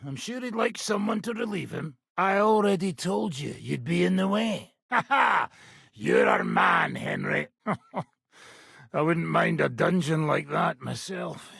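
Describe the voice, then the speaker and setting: A man voicing a gruff, sardonic middle-aged mercenary bandit in a video game, with a raspy, gravelly voice and a blunt, coarse, mocking manner. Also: sits high, lively intonation, measured pace, fairly bright tone, no room sound, studio quality, heavily acted. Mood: mocking, amused.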